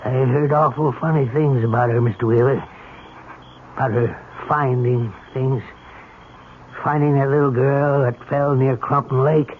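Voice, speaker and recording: A man plays a superstitious country handyman in a 1970s radio mystery. His middle-pitched voice is plain, folksy and nervous. The recording is muffled, with a little noise. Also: steady tone, unhurried, close to an everyday voice. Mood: uneasy. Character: uneasy, superstitious rural handyman accomplice. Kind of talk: 1970s radio drama acting. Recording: muffled, faintly noisy old radio broadcast.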